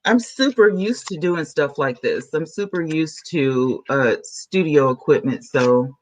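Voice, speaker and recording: A woman YouTuber, chatting excitedly to her viewers from home while she sets up a new microphone. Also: deep voice, a little slow. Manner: chatty, excitable, talks to chat. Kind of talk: casual vlog chat to viewers. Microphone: camera mic, then a new plug-in USB mic.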